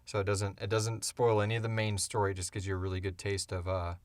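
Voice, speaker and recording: A man co-hosting a podcast, answering questions in casual, informal, halting talk. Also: on the low side, monotone, no echo. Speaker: podcast co-host and show creator. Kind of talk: casual Q&A chat.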